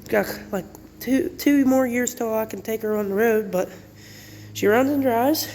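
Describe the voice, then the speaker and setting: A man's voice: extremely high pitch, moderate pace, darkish tone. A congested man with a cold hosts a casual, rambling car walkaround and often corrects himself. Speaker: channel host. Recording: long reverb, clear background noise.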